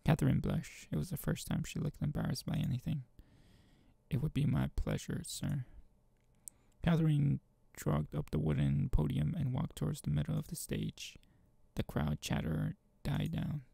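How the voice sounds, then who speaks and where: A man reading a visual novel aloud and commenting casually as a channel host, informal and halting, with a gravelly, husky voice. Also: fairly high pitch, very animated, warm tone, dry recording.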